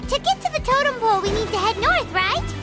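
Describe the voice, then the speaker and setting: A tiny, blunt fairy sidekick from a video game. The voice is extremely high, squeaky and childlike, leaping all over in pitch with excitable exclamations, over loud music. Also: female voice, brisk. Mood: excited, hurried. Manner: speaks of self in third person, exclaims.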